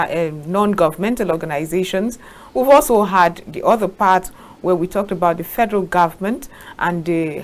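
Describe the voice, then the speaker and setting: A woman hosting a talk show, introducing the topic and guest in a measured, formal way. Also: fairly low pitch. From Nigeria.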